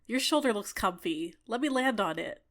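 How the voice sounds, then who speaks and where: A woman voicing a bubbly, clingy fairy with a sweetly menacing edge in an ASMR roleplay, talking in an excitable, coy, chatty way. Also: on the high side, moderate pace. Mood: disgusted, then relieved. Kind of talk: ASMR roleplay, scripted character acting.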